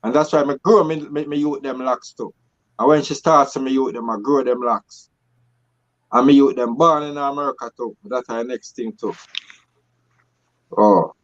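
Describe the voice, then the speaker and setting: A man's voice: mid-range voice, normal speed, long pauses, dry recording, muffled recording. A man with a Jamaican accent reasoning and telling stories casually, emphatic and repeating phrases to drive them home.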